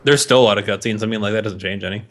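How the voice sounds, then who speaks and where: A man's voice: fairly low pitch, very fast. A man co-hosting a podcast in casual banter, joking and ranting with plenty of swearing. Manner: profane, jokey ranting. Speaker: podcast co-host.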